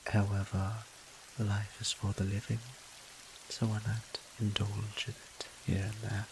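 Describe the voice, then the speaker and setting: A man playing a gentle, teasing vampire priest in an intimate audio roleplay, speaking softly and breathily to the listener in courteous, old-fashioned phrasing. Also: fairly low pitch, monotone, measured pace. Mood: warm, reassuring. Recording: clear hiss and rain sound behind.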